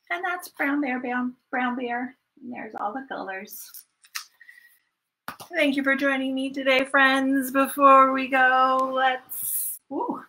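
A woman in her thirties leading a children's storytime, speaking in an animated, sing-song way with repeated phrases for young listeners. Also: sits high, a little hiss or hum, rough recording. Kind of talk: children's storytime read-aloud and singalong. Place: small furnished room at home, indoors.

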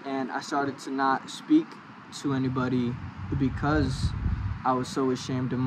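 Young man who stutters, slow, outdoors. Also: mid-range voice, flat delivery. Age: young adult. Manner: stutters with blocks and sound repetitions; slow, hesitant, many fillers. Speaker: vlogger. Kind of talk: personal talk to camera. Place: under an outdoor park pavilion. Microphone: small handheld mic close to mouth. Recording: outdoor traffic noise.

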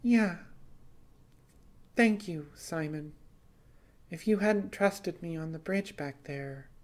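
A man voicing an earnest, excitable young female animal-like stowaway in an audiobook space story. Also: fairly high pitch, slow, voice pushed up. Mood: grateful.

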